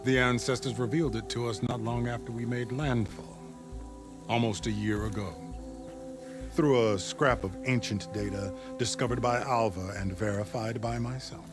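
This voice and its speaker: A man's voice: medium pitch, steady tone, moderate pace, music in the background, natural voice. Man playing a formal, reverent scholar official. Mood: formal, measured. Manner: formal, reverent, grandiose phrasing. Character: devout scholarly male overseer, formal and deferential to his ruler. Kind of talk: video game dramatic acting.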